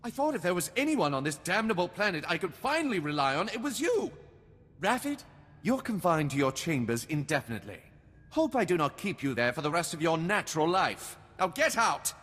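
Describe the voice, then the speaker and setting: A haughty, irascible baron for a game. His highish voice swings widely and commandingly, ringing in a reverberant hall. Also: big pitch swings, a little fast, fairly bright tone, exaggerated voice. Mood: outraged. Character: proud, irate, scheming noble baron, a father. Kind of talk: video game dialogue.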